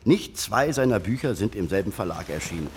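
An actor playing a bookish, wry hospital patient in a radio play, explaining things with dry opinions. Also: male voice, lowish voice, expressive, a little fast, darkish tone, a little hiss or hum. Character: bookish, wry male hospital patient, science fiction enthusiast.

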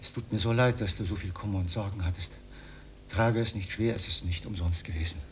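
Low-voiced, earnest old idealist, played by a man. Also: a little fast, lightly acted. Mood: solemn, grave. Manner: solemn, formal, wordy. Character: earnest, pedantic, lonely old communist idealist. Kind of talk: radio drama acting. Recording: faint background noise, old radio recording.

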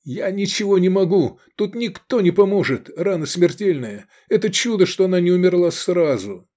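A man's voice: high voice, animated, moderate pace, dark tone, pitched above the performer's own voice. A man voicing a grave, urgent man tending the wounded, sounding strained, in an audiobook story. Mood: urgent, hopeless.

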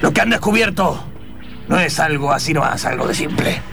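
A man's voice: on the high side, lively intonation, normal speed, creaky. A man playing a formal, polite German scientist long settled in Argentina, explaining things in improvised radio theatre. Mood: grave, explanatory. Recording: guitar music behind the voice.

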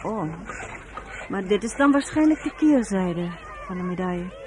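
A woman's voice: medium pitch, animated, normal speed, crowd noise, natural voice. An actress playing a proud, outspoken American woman working as a medic in a radio play. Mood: curious. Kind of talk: Dutch radio play acting. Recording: faintly noisy radio play recording.

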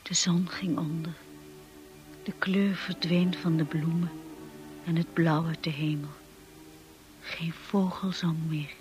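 An actress playing a wistful woman who narrates memories of first love in a radio drama, intimate and measured. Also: low voice, fairly even intonation, a little mellow, faint music behind. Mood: melancholy.

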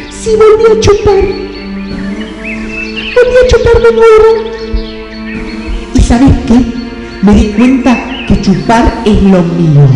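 A woman playing a dramatic, rebellious wife turned wacky superheroine in a comedic radio play, wailing and exclaiming theatrically. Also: high voice. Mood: defiant. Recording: echoey hall with loud music behind.

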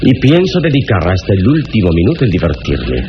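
An actor playing a suave, confident adventurer hero in a 1960s radio serial, speaking in a grand, deliberate, theatrical manner. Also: male voice, low voice, huge pitch swings, background noise. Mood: teasing, firm. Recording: muffled 1960s radio serial, music behind.

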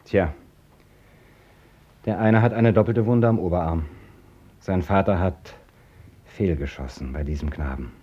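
An actor playing a blunt, busy hospital doctor in a radio drama, dry and ironic but gentle with children. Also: male voice, low-pitched, measured pace, long pauses, husky, lo-fi sound. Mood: dry, matter-of-fact. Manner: dry, clipped, formally ironic. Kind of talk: German radio drama acting.

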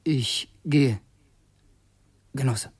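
An actor in a radio play voicing a tormented, guilt-ridden revolutionary man, anxious and halting, repeating his phrases. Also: medium pitch, husky. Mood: exhausted, broken. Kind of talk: radio play acting, inner monologue and dialogue.

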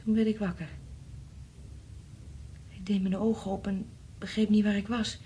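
An actress playing a feverish, frightened wife in a radio play, speaking intensely in a husky, breathy voice. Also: female voice, mid-range voice, flat delivery, warm tone, slight background noise, lightly acted. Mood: dreamy, haunted. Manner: intense, halting recounting and insistent questioning. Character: feverish, frightened wife, haunted by bleak dreams.

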